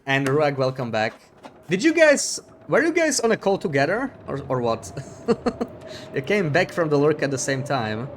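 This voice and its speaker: Male streamer, casual chat at a desk mic, mid-pitched voice, muses aloud and repeats himself. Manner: thinks aloud, with frequent restarts and repeats. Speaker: live streamer. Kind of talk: casual gameplay chat, reading viewer comments.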